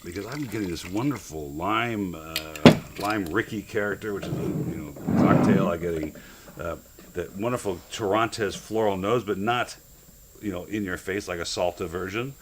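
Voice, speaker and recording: A middle-aged man hosting a casual interview, chatty and enthusiastic with quick reactions. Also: deep voice, very noisy. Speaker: show host.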